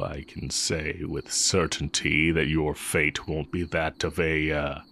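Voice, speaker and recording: A man acting as a heretic sorcerer and former teacher with an extremely low voice. He speaks slowly and deliberately, leaving long pauses. His manner is sly, brooding and tender, played close and intimate as in a fantasy audio roleplay. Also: creaky, a bit bright, exaggerated voice. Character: exiled heretic male magic teacher, sly, brooding, tender dark mage.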